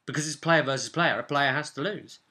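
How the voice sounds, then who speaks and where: Bright, forceful man telling stories. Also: fairly high pitch, a little fast. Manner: emphatic, repeats phrases for effect. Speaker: game master recounting his tabletop session. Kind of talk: informal explanatory storytelling.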